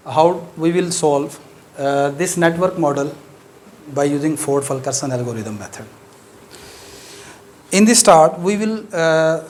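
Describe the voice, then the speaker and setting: A man whose first language is Urdu, speaking English with an Urdu accent as he gives a maths lecture, explaining step by step with frequent pauses and fillers. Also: fairly high pitch.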